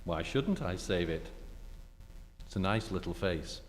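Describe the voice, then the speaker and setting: Actor as suave, tender old-flame lover, unhurried delivery, radio romance in a reverberant hall. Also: male voice, low-pitched, animated. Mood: tender, wry. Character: suave, tender ex-husband wooing his former wife. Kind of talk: 1940s radio play acting. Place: theatre stage. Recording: echoey hall with faint noise.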